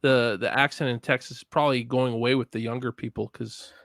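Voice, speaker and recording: Casual man from Canada, a filmmaker guest, gravelly voice, easygoing with a quick laugh and some swearing, dry close sound. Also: medium pitch, dry recording. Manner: relaxed, laughs often, swears freely. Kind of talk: casual podcast chat.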